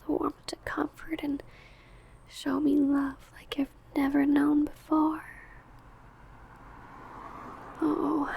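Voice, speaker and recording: A woman's voice: sits high, creaky, warm tone, slight background noise, low-quality recording. A woman playing an ancient, possessive, doting female vampire in a whispered, intimate ASMR roleplay, crooning breathily. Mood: possessive longing.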